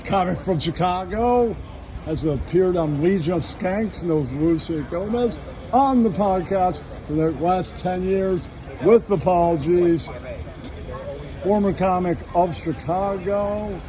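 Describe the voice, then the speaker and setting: A man's voice: high-pitched, takes it slowly, a crowd in the background. A man doing a casual street interview as the interviewer, asking blunt questions one after another. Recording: noisy outdoor urban street with traffic.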